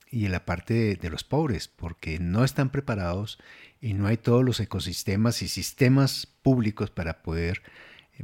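A man's voice: lowish voice, darkish tone. A man hosting a radio interview program who asks long, earnest, winding questions. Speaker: radio host.

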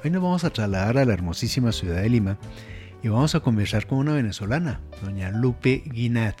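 A man's voice: medium pitch, expressive, brisk, darkish tone, soft background music. A man hosting a radio interview program who asks long, earnest, winding questions. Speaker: radio host.

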